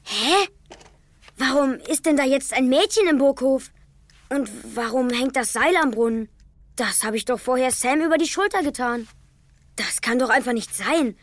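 Little schoolboy in a kids audio play, high voice, confused and exclaiming. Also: normal speed, fairly bright tone. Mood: baffled. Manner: childlike, puzzled, exclaiming. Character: young schoolboy Tobi, bewildered, playing with toys. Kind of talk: children's audio drama acting.

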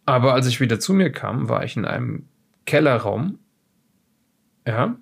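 A man playing a shifty former safecracker in an audio drama, self-justifying and rambling, with a gravelly voice and stammered restarts. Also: mid-range voice, very animated, normal speed, full character voice. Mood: flustered, unsure. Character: shifty former safecracker defensively recounting being attacked and kidnapped. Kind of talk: improvised audio drama dialogue.

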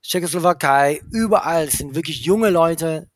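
A man in his forties who grew up in England, a podcast guest chatting casually, rambling, restarting his sentences and talking in quick bursts. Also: a little high, dry recording, clean studio sound. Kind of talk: casual conversation.

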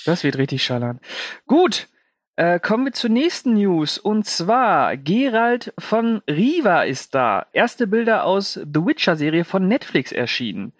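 A man's voice: sits high, very animated, measured pace, no room sound. A man co-hosting a film news show in casual, slangy conversation.

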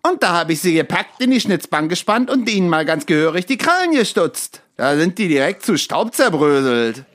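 A man acts out a foolish young miller's son from a comic fairy-tale audio drama. He is cocky and bloodthirsty, chatting nonchalantly about violence with a very animated, swinging melody. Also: sits high, moderate pace, hardly any pauses. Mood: sheepish, chatty.

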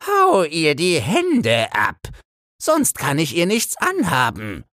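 A man voicing a sly, menacing devil in a fairy tale, scornful and commanding, with drawn-out words and a husky rasp. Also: on the high side, huge pitch swings, fairly bright tone, no room sound, clean studio sound, voice pushed up, exaggerated voice. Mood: angry, threatening. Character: sly menacing devil posing as old stranger.

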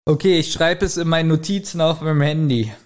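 A man's voice: fairly high pitch, fairly quick. A middle-aged man co-hosting a podcast, chatting in a casual, colloquial and jokey manner. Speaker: podcast co-host. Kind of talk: casual chat.

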